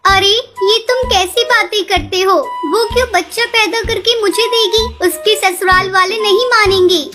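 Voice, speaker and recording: A woman's voice: very high voice, steady tone, moderate pace, pushed and bright, faint music behind. A woman voicing an earnest, emotional mother bird in a Hindi children's cartoon story. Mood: earnest, wistful. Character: gentle, emotional female bird, wife and doting mother.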